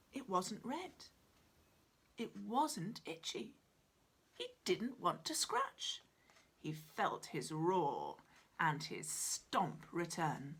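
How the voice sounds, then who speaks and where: A woman in her forties narrating her children's picture book in a dramatic, deliberate, expressive style, indoors at home. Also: on the low side, slightly rough. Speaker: author reading own picture book. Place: small cluttered room at home, indoors.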